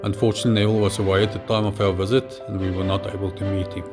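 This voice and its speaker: Low-voiced man narrating over music. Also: little pitch movement, very fast. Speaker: pilot and travel vlog narrator.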